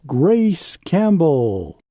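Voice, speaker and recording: A man's voice: a little high, very animated, drawn-out words, dark tone, no echo, studio quality, exaggerated voice. A man voicing earnest grade-school pupils in a storybook, speaking up with proud, declarative announcements. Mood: proud announcing.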